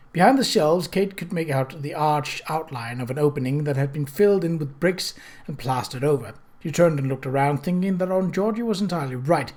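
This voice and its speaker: A man narrates a story from a written text as an audiobook reader. His voice sits a little higher than most men's. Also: a little mellow.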